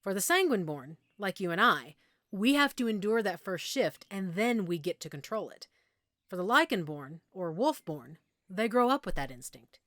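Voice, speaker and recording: A woman playing a friendly werewolf pack member in an intimate audio roleplay, patiently explaining and gently reassuring the listener. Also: medium pitch, sing-song, moderate pace, dry recording, clean studio sound. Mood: patient, explanatory.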